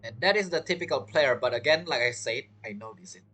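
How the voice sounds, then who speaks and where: A man's voice: mid-range voice, animated, fast, loud music behind the voice. A man giving chatty, casual playthrough commentary, reacting to the game and reading its text aloud. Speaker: game streamer.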